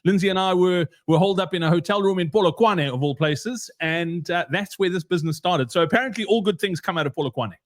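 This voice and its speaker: A man's voice: high voice, quick pace, hardly any pauses. A South African man hosting a casual podcast interview, chatty and informal, often repeating his phrases. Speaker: podcast host.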